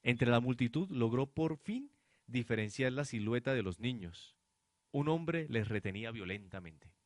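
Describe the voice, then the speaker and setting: A man's voice: mid-range voice, lively intonation, measured pace, dry recording, studio quality. A young man reading dramatic scripted narration for a radio drama, in a noisy amateur recording. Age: young adult. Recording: noisy amateur student production.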